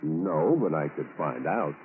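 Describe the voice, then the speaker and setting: Deep-voiced, chatty storekeeper on old radio. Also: male voice, lively intonation, unhurried, narrow, tinny audio. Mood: chatty, helpful. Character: chatty, obliging small-town male storekeeper. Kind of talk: 1940s radio acting. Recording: noisy, band-limited 1940s radio recording.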